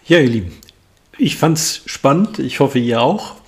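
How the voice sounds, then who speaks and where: A man's voice: medium pitch, expressive, measured pace, husky. A man hosting an interview podcast in casual talk, polite and informal with some hesitant phrasing. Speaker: podcast host.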